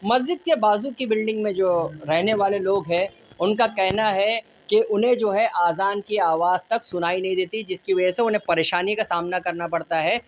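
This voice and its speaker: A woman's voice: medium pitch, moderate pace, dull audio. A woman reporting news on location in formal, wordy, repetitive phrasing. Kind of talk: news reporting, interviewing locals. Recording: noisy on-location news audio.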